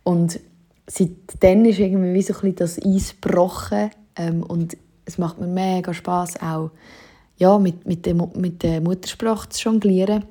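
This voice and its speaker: A woman's voice: lowish voice, fairly even intonation, measured pace, mellow, slightly rough. A young woman with a Swiss German accent, a singer-songwriter casually answering interview questions with many fillers.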